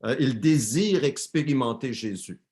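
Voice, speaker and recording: A man interprets a guest preacher live for a church congregation, with a mid-pitched voice. He often repeats words and corrects himself mid-phrase as he translates. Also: expressive, moderate pace, a little mellow.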